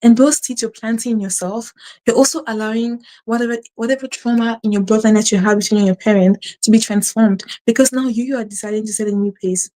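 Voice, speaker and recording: Woman channel host, mellow tone, quick and earnest, stutters and restarts; faith-based advice to camera. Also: a little high, steady tone, rough recording. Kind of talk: casual spiritual advice to camera.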